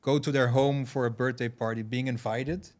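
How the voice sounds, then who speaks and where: A man from Curaçao, a guest on a podcast, telling stories in a thoughtful, relaxed way with many fillers. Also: medium pitch, moderate pace. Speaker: podcast guest, payments founder. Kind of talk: casual podcast conversation.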